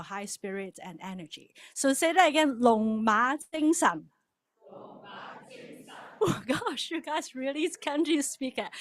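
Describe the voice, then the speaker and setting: Chatty woman. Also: on the high side. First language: Cantonese. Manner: chatty, laughs often, leads the crowd in repeating words. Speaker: guest speaker at a worship service. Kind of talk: casual cultural talk with call-and-response.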